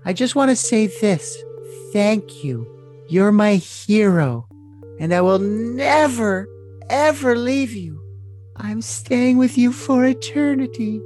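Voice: mid-range voice, animated, takes it slowly, a little mellow, soft background music. A performer voicing a sweet, submissive young woman in love, in an overly dramatic, gushing reading of messages. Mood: adoring.

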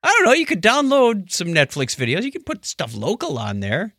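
A man co-hosting a casual podcast, reading listener comments aloud with a jokey, animated manner. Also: sits high, brisk, dry recording, clean studio sound. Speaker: podcast co-host.

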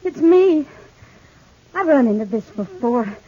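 Husky, world-weary frontier woman. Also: fairly high pitch, expressive, unhurried, mellow. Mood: hurt, tearful. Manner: plain-spoken, folksy, intimate. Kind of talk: 1950s radio Western acting. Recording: dull, muffled 1950s radio recording.

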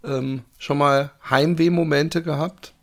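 A man's voice: a little high, fairly even intonation, takes it slowly, fairly bright tone. A man hosting a podcast in casual interview chat, joking and chatty with many fillers. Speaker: podcast host.

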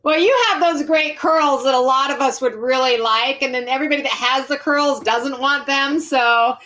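A woman's voice: high-pitched, fairly even intonation, normal speed, a bit bright. A woman in her forties hosting a casual interview, friendly and rambling as she tosses out questions. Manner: friendly, rambling, lots of fillers. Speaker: podcast host.

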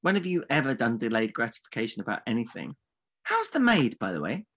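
A man's voice: medium pitch, huge pitch swings, fairly quick, a bit bright. A man co-hosting a comedy podcast, teasing and theatrically mock-insulting his partner. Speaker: podcast co-host. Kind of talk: comic banter.

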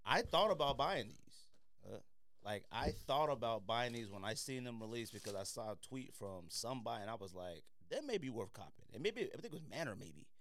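An American man with a bright, highly animated voice hosts a casual podcast. He talks in slangy, teasing banter and keeps firing questions at his friends. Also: a little fast, no echo. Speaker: podcast host.

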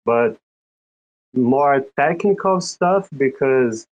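A man from Brazil speaks English with a Brazilian Portuguese accent, slowly and haltingly, restarting as he hunts for words. His first language is Portuguese. The audio sounds dull and lo-fi. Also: mid-range voice, lively intonation, slight background noise. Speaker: guest, psychologist and writer. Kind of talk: casual introduction in an audio chat.

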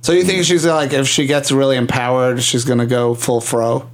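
A man's voice: medium pitch, little pitch movement, moderate pace. A man from Puerto Rico talking casually as a panelist in a podcast discussion.

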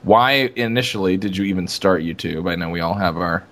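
Podcast host dude, low voice, asks stuff kinda loosely with ums. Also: male voice, brisk, fairly bright tone. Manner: loose questions with fillers, swears. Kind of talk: casual podcast chat.

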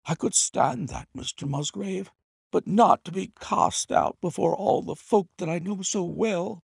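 Guy doing a proud butler begging to keep his place, hoarse and strained, pitched way up. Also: big pitch swings, a little slow, no echo, clean studio sound, full character voice. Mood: pleading. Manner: pleading, high and strained. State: hoarse with emotion. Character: desperate proud middle-aged male butler. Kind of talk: audiobook character voice.

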